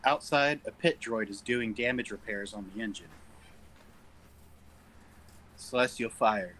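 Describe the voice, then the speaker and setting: A man's voice: lowish voice, moderate pace, a bit bright, a little hiss or hum. A man reading stage directions as narrator at an informal sci-fi script table read.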